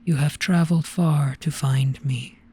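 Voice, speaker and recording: A performer voicing an ancient, gentle, weary monster in a hypnotic roleplay, speaking very slowly in a grave, breathy hush. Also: mid-range voice, steady tone. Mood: solemn, gentle. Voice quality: breathy, whispery. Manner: very slow, hushed, grave.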